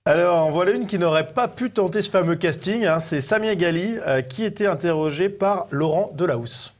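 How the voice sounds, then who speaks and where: A man co-hosting a conversational media review show, presenting in halting phrases. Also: high voice. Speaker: co-host presenting media clips.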